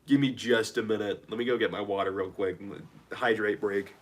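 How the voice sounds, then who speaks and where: A young man chatting on a live stream, nasal and dry-throated from a cold, rambling and reading viewer messages haltingly. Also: fairly low pitch, fairly even intonation. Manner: rambling, chatty, reads chat aloud haltingly. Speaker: livestreamer.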